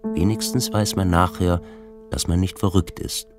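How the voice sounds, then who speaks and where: A man's voice: low voice, fairly even intonation, normal speed, over music. A man narrating a literary radio play as scripted prose.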